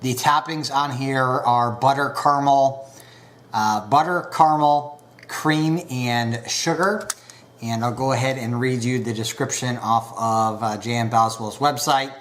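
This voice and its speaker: Unhurried man with a stuffy nose. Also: mid-range voice, fairly bright tone. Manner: unhurried, many filler pauses. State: stuffed up from a cold. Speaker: pipe tobacco reviewer. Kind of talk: casual review to camera.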